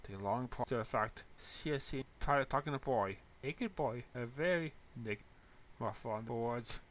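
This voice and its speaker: Man, narrator, medium pitch, bright sharp tone, amateur story reading. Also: moderate pace, a little hiss or hum.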